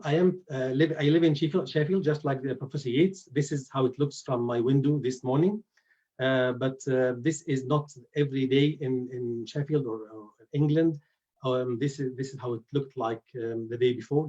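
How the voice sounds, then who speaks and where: Hesitant surgeon on a video call. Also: male voice, mid-range voice, mellow. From Egypt. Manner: hesitant, many fillers and restarts. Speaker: guest lecturer, oral surgeon. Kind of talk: webinar lecture. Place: indoors at home. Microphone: computer mic on a video call. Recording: dull lo-fi video call audio.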